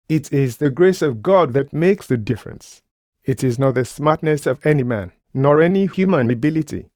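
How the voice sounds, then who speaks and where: A man delivers a sermon as a preacher in a mid-pitched voice. He is emphatic, circling back over key phrases and posing rhetorical questions. The sound is dry, with very little room echo. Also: a little mellow.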